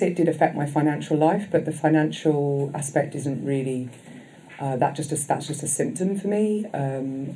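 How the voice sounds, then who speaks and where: A woman with a husky, loud voice gives a reflective, halting personal recovery share as guest speaker at a convention, speaking into a microphone. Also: rumbling low, a little hiss or hum, poor audio. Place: convention session room.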